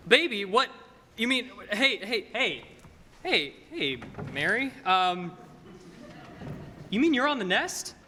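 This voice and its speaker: A young man, a high school actor, playing an earnest, restless small-town family man who stammers in a 1940s-style radio play. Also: full character voice. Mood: stunned. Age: young adult. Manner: stammering, halting, excitable. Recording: hall reverb, noisy live stage recording.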